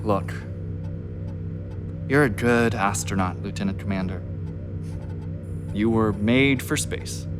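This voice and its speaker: Seasoned female astronaut soldier, very low pitch, wry, understated, radio drama, clear music bed. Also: slow pace, long pauses. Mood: wry, reflective. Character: pragmatic, wry woman space officer, war veteran. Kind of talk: radio play acting.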